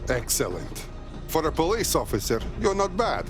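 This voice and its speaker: A man's voice: a little high, very animated, normal speed, music in the background. A man playing a brash, foul-mouthed resistance operative in a video game, talking in blunt, clipped sentences. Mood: brash, impressed. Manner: blunt, clipped phrasing with dropped words. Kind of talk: video game cutscene acting.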